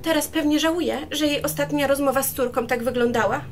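A gossipy, eager-to-please maid, acted by a woman in a radio drama, with a high voice. She is effusive and deferential, and music plays clearly behind her. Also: fairly quick. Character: chatty, gossipy unmarried hotel-style maid, eager to please. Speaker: amateur radio drama actor. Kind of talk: radio play acting.

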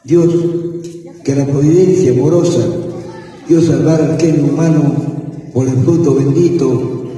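Older priest reciting a solemn mass. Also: male voice, fairly high pitch, steady tone, measured pace, pauses often, mellow. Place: large tented outdoor patio. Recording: roomy, distant camera audio.

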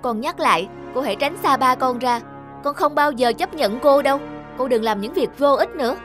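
Stubborn young schoolgirl, adult actress, animated: high, sulky, pleading, over music. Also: moderate pace. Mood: defiant anger. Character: stubborn, jealous little schoolgirl. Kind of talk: cartoon dub acting.

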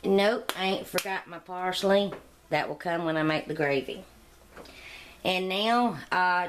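A woman's voice: fairly low pitch, noisy background. Chatty woman, homey kitchen talk. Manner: chatty, homey, rambling asides. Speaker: cooking channel host. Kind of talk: casual cooking demonstration. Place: home kitchen. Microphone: camera mic, speaking off camera.

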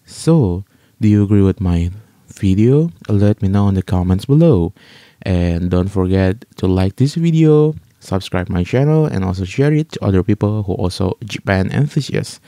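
A man hosting a countdown review as a voice-over, speaking haltingly and stretching key words. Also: sits low, expressive, mellow, no echo, studio quality. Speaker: host of an anime music ranking channel. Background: music playing under the voice.

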